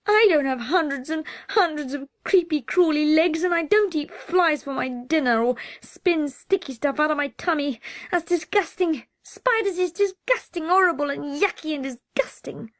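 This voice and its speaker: Woman reader doing a small boy, high, loud, breathy wailing, frightened, dry close sound. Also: moderate pace, no room sound, lightly acted. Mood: disgusted wail.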